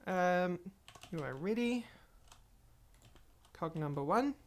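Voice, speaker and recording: A woman's voice: on the low side, lively intonation, slow. A woman hosting a casual game playthrough, chatting and rambling to viewers while she plays, a little stuffy from a cold. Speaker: gaming channel host. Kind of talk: casual Let's Play commentary.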